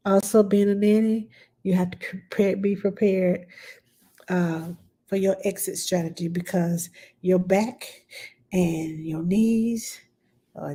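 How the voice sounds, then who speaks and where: A woman's voice: lowish voice, creaky, warm tone, breathy edge. A middle-aged woman with a stuffed-up nose, chatting plainly and bluntly as a nanny answering viewer questions on a live stream.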